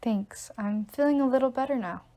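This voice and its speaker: A frail young girl in a radio play, played by a woman. Her voice is soft, mellow and a little high, with a faint, hesitant way of speaking. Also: fairly quick, natural voice, low-quality recording. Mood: relieved. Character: frail, mild, unwell young sister.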